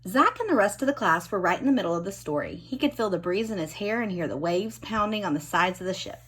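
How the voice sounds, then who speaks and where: A woman reading a children's storybook expressively, with dramatic emphasis and drawn-out key words. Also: medium pitch, expressive, breathy edge. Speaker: storybook reader.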